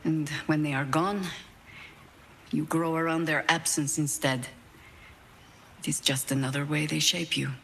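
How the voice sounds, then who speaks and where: An actress playing a battle-worn older woman druid in a video game, proud, wry and grieving, speaking in a measured, eloquent, dramatic way. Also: low voice, steady tone, vocal fry, fairly bright tone, slight background noise, heavily acted. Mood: reflective, tender.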